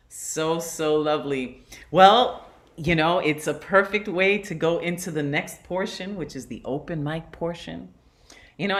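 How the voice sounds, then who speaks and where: Effusive open mic hostess. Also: female voice, on the low side. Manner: effusive, exclamatory. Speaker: host.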